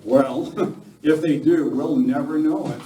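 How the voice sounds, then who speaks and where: A man's voice: fairly high pitch, measured pace, warm tone, natural voice. A man playing an earnest, alert western defender on the lookout in an amateur radio play. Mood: urgent, steady. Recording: echoey hall, distant mic, noisy.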